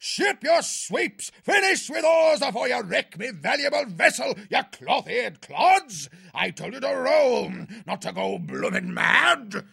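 A man voicing a bossy military hare sea-captain in a storybook, with a raspy British bark and pompous bluster. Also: high-pitched, very animated, normal speed, no echo, studio quality, pitched above the performer's own voice, full character voice. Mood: scolding. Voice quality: raspy, gravelly shout.

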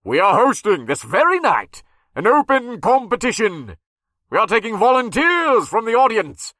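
A portly old circus ringmaster calls out in a bright, forceful voice. His pitch is raised and swings dramatically. He is a theatrical storybook showman who loves a grand pause. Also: huge pitch swings, no echo, clean studio sound, heavily acted. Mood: showy, booming. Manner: loud, theatrical, drawn-out announcing with dramatic pauses. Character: big-bellied old male circus ringmaster, booming showman.

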